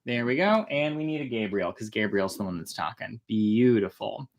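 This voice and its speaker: Casting: casual, irreverent storytelling host, flippant asides, mid-range voice with lively swings. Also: medium pitch, normal speed. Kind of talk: casual, chatty commentary on a story.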